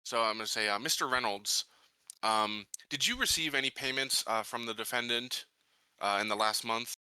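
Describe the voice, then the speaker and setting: A man playing a tabletop game, improvising courtroom questions with halting, somewhat formal phrasing. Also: mid-range voice, pushed and bright, smooth, dry recording, poor audio. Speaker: tabletop player questioning a witness in character.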